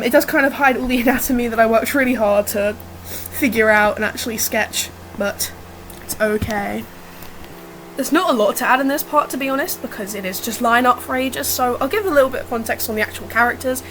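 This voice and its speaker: Woman, somewhat high voice, slightly rough and sick, casual unscripted art commentary, self-deprecating, faint fan noise, no proper mic. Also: rough recording. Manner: informal, self-deprecating, chatty. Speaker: digital artist narrating own speedpaint. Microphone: built-in or improvised, no dedicated mic. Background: whirring fan.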